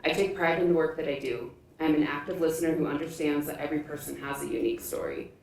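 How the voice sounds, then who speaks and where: A woman with a low voice delivers a formal, scripted candidate statement at a forum. She is picked up from far off in a heavily reverberant room. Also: steady tone, fast talker, creaky, a little mellow. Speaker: school board candidate. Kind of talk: prepared campaign statement at a forum. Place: indoor public forum room. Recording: echoey room from far off, faint noise.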